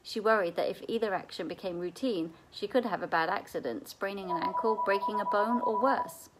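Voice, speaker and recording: A woman reads a children's novel aloud in the manner of a library storyteller. Her voice sits at a middle pitch, and she tells the story expressively. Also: brisk, heavy background noise. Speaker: reader at a library read-aloud.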